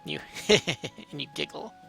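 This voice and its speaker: A man's voice: a little high, takes it slowly, creaky, bright, forceful tone, faint music behind. A man narrating a story aloud in a casual, amateur, playful way. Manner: informal, playful.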